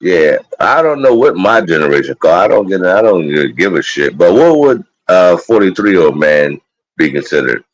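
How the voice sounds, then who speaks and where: A man's voice: low-pitched, big pitch swings, normal speed, no echo, rough recording. A man, chatting loosely and profanely as a guest on a live stream. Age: 40s. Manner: loose, profane street talk. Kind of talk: casual chat.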